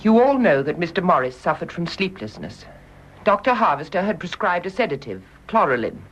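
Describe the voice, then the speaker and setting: A woman's voice: on the low side, very animated, a little fast, breathy edge. A woman with a British accent playing a grave, stubborn, wounded nurse in a radio drama, speaking in a clipped, formal, emphatic way. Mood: resolute, formal. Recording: dull, muffled radio drama recording.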